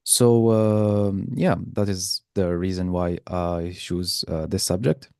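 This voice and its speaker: Low, mellow man presenting. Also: steady tone, takes it slowly, clean tone, no echo, clean studio sound. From Algeria. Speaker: guest presenter. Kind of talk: informal presentation. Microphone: video call.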